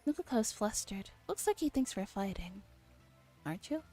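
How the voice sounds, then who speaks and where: A woman livestreamer softly reading a visual novel aloud in ASMR style, acting out the dialogue expressively. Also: medium pitch, moderate pace. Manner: expressive, acts out the dialogue lines. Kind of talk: soft ASMR read-aloud with translated dialogue. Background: game music.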